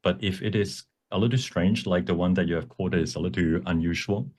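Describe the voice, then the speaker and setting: A man presenting a live software demonstration at a workshop, explaining as he goes in a halting way. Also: sits low. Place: online presentation at a computer.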